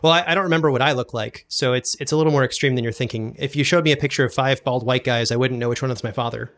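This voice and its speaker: A man's voice: medium pitch, very fast, fairly bright tone. A man speaking as a podcast guest in a casual interview, often doubling back and repeating his words hesitantly. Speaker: podcast guest, audio drama producer and sound designer.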